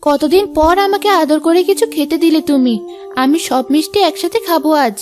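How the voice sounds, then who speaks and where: Woman voicing a very high, childish gluttonous wife. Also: fairly quick, lightly acted. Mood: cheerful, greedy. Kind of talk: Bengali cartoon dialogue.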